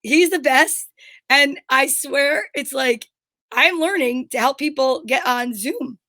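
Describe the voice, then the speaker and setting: A woman with a high voice co-hosts a casual live show. She sounds upbeat and enthusiastic, chatting freely. The sound is dry, with very little room in it. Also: slow, bright, forceful tone, dry recording. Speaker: co-host of an online comedy show.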